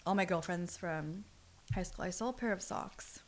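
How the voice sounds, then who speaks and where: A young adult woman, telling personal stories in a casual, animated, slangy way. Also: lowish voice, normal speed. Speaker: podcast guest. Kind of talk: casual chat, personal storytelling.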